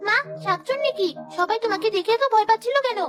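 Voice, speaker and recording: Little cartoon village girl: piping high, eager, pleading, music behind. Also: quick pace. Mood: curious. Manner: eager, insistent. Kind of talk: cartoon character acting.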